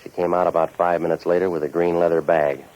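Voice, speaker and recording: Male actor, deep voice, cynical tough-guy investigator, deadpan wisecracks, 1940s radio drama, muffled vintage sound. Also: fast, natural voice. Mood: wry, world-weary narration. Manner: deadpan, sardonic, hard-boiled. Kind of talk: 1940s radio noir acting and narration.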